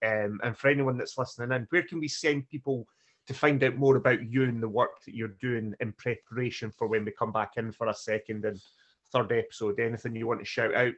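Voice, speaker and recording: Chatty co-host. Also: male voice, medium pitch, a bit bright. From Britain. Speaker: podcast co-host. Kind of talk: casual podcast chat.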